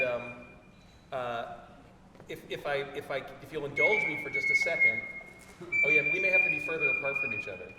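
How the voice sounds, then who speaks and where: A man giving a personal tribute speech at a memorial lecture, chatty and self-deprecating. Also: medium pitch. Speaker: invited speaker giving a tribute. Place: lecture hall. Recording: echoey hall from far off with noise.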